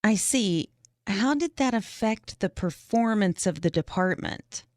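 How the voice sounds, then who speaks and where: A woman reading the interviewer's part in a scripted practice job interview, asking clear, even questions. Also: medium pitch, measured pace, no room sound, clean studio sound.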